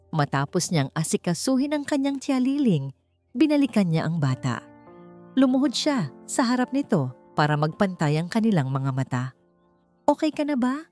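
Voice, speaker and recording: Female narrator for dramatic fiction, low and soft-toned, over faint music. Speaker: storyteller. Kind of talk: scripted dramatic story narration.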